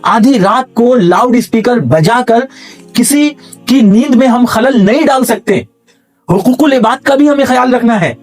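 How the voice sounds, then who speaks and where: A man's voice: extremely high pitch, unhurried, soft background music. A man from India makes an earnest public appeal, emphatic and stacking his points in lists.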